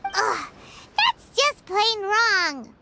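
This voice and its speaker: A woman voicing a tiny, curious, childlike fairy companion in a video game, with a squeaky, expressive delivery. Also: drawn-out words, slight background noise, full character voice. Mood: disgusted. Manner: speaks of herself in third person, expressive. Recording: loud children's music behind the voice.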